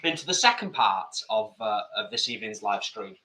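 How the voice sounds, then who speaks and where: Man, middle-aged, lively pitch, bright tone, casual live stream chat. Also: brisk, rough recording. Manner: lively, chatty, reads viewer comments. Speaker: co-host.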